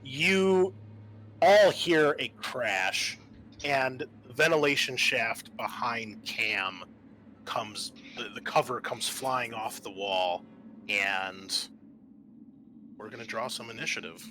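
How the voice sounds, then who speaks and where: A man narrating a tabletop roleplaying game as game master, pausing often mid-sentence. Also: medium pitch, sharp tone, a little hiss or hum.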